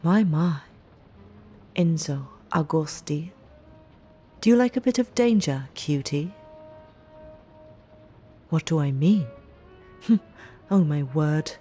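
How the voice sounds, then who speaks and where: Woman acting a slow, husky, coy mob eccentric. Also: low voice, dark tone, soft background music, exaggerated voice. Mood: coy, teasing. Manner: coy, theatrical, drawn-out old-fashioned phrasing. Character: playfully menacing woman, theatrical mob underling, teasing and eccentric. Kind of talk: ASMR audio roleplay acting.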